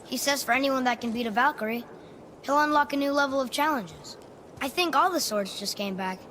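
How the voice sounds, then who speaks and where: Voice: high-pitched, fairly quick, bright, forceful tone, slight background noise. An actor voicing an eager, curious young boy adventurer in a video game, earnest and inquisitive. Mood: excited. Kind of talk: video game dramatic acting.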